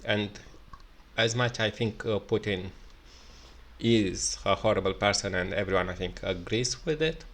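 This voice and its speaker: A man with a Romanian accent, speaking English in an unscripted solo commentary, halting with many fillers and restarts. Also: lowish voice, a bit bright. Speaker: video commentator.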